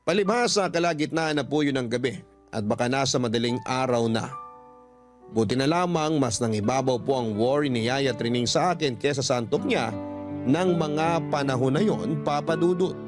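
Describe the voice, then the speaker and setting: A man with a medium-pitched voice narrates a listener's letter in the first person as a radio storyteller. His delivery is earnest, polite and dramatic in the storytelling sense, with soft music underneath. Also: normal speed. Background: background music.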